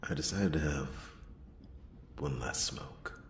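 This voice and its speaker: A man voicing a brooding noir detective in a hushed ASMR roleplay, narrating a murder mystery in a breathy near-whisper. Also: very deep voice, full character voice. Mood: weary. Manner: slow, deliberate, hushed storytelling. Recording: roomy echo, clear background noise.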